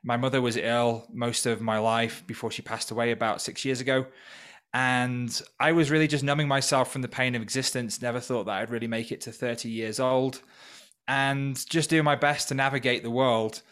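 A man's voice: mid-range voice, little pitch movement, moderate pace, fairly bright tone, clear, resonant tone. A man in his thirties from North Wales, a podcast guest telling his own story in an earnest, reflective way. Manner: earnest, reflective, open about hard past. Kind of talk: personal story in a podcast interview. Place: temporary remote location.

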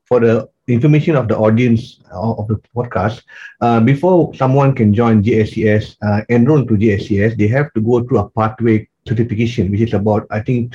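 A man's voice: mid-range voice, warm tone, lo-fi sound, a little hiss or hum. A man, a podcast guest telling his story in an earnest way with many fillers. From Malaysia. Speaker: guest, coach and maths teacher. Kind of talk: podcast interview.

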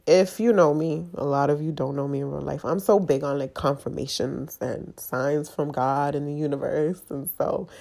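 A woman with a low, gravelly voice talks in a candid podcast monologue, stuffed up and nasal from a lingering cold. Her tone is dark and mellow, and she speaks haltingly and confessionally, with plenty of fillers. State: congested from a long cold. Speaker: podcast host.

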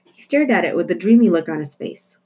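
Woman reading a children's book aloud, lowish and breathy, playful emphasis. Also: a little fast. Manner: expressive storytelling, playful emphasis. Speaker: reader.

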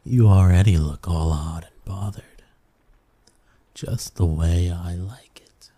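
A man voicing a seductive, smug incubus demon in an intimate ASMR roleplay, teasing and coaxing his listener with pet names in a husky voice. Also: slow pace, warm tone, exaggerated voice. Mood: seductive, boastful. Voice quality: low, breathy, husky. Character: seductive male incubus demon, smug, dominant, soothing lover.

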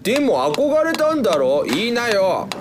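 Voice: medium pitch, big pitch swings, unhurried, noisy background. An actor playing a nagging companion in a radio drama, insistent and coaxing.

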